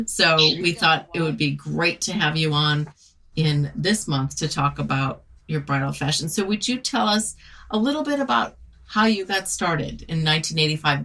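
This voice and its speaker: A woman's voice: deep voice, pushed and bright. A woman hosting an interview, explaining things conversationally and putting questions to her guest. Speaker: relationship podcast host. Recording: distant from the microphone.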